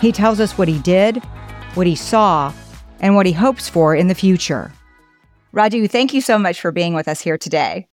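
A woman hosts a podcast interview with an upbeat, conversational manner. Her voice is a little low, and the recording is very dry, with faint music behind her. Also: expressive, moderate pace, no room sound. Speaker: podcast host. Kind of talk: interview hosting.

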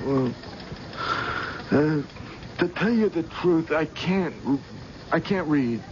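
A man's voice: a little high, lively intonation, a little mellow. A man playing a weary, evasive, uneducated drifter who stammers under questioning in a radio drama. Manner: halting, stammering, rustic ungrammatical speech. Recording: dull old radio broadcast, faint noise.

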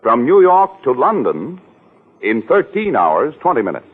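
A man announcing in 1940s radio style, reading a script with measured emphasis. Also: mid-range voice, huge pitch swings, slightly rough, narrow, tinny audio.

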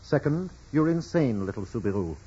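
Husky, imperious old-radio bishop. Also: male voice, medium pitch, sing-song, warm tone. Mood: stern, dry. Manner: measured, pompous, emphatic. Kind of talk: 1940s radio drama acting. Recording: muffled vintage radio broadcast recording.

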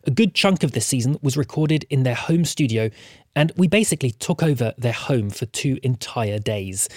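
Male podcast host for scripted intro. Medium pitch, earnest emphasis, tight dry recording with no room sound. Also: no echo. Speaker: podcast creator introducing the episode.

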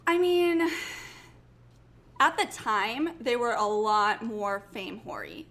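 A young woman with a Minnesotan accent, guesting on a podcast and chatting casually. She pokes gentle fun at herself. Her voice sits a bit high and sounds bright. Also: expressive, takes it slowly. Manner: self-deprecating, chatty.

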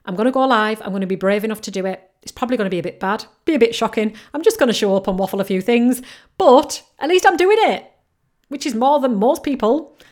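A woman's voice: a little high, lively intonation, fast talker, a little mellow. Upbeat woman with a Yorkshire-Geordie accent. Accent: Northern English, between Yorkshire and Geordie. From North East England coast. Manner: upbeat, encouraging, repeats key phrases. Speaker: podcast host, business coach. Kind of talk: solo podcast advice.

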